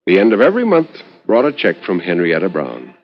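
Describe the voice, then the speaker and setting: A man reading a literary story as a 1950s radio narrator, measured and expressive, lingering on phrases with dramatic pauses. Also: deep voice. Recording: dull vintage 1950s radio recording.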